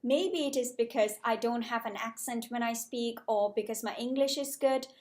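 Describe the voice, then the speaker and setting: Higher-voiced young woman vlogging. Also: moderate pace, a little mellow. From Malaysia. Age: 20s. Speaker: vlogger, junior doctor. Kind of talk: scripted-sounding casual vlog talk to camera.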